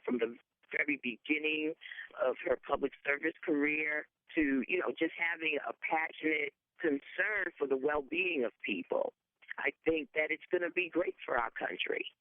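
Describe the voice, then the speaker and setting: A woman's voice: low voice, moderate pace, no room sound. A woman politician speaking earnestly in a phone interview, with frequent restarts. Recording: tinny phone line.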